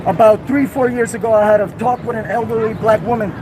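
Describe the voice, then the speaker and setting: A man's voice: high voice, steady tone. Earnest man from Puerto Rico, noisy street. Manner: earnest, halting, profane. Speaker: passerby at a protest. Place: outdoor city street.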